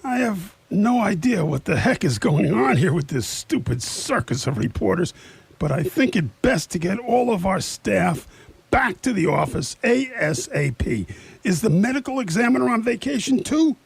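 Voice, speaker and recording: A man plays a beleaguered sheriff in a radio drama. His voice is very raspy and creaks, and it swings around as he grumbles and mutters mild curses. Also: high-pitched, very animated, moderate pace, no echo, full character voice. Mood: grumbling, exasperated. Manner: grumbling, exasperated, folksy mild cursing. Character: harried, grumbling male sheriff with a cramping stomach. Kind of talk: radio theatre acting.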